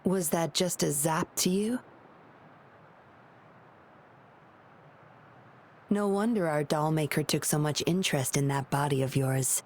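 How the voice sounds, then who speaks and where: A woman's voice: sits low, steady tone, moderate pace, a little mellow, close to an everyday voice. A woman voicing a guarded, composed video game patroller with a wry, lonely streak. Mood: teasing. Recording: clear ambient noise behind voice.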